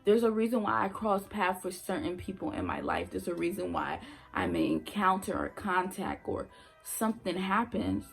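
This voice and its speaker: Gravelly young woman telling it straight. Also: on the low side, big pitch swings, normal speed, soft background music. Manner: emphatic, blunt, repeats points for effect. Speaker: former nursing assistant vlogger. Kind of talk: casual storytelling vlog.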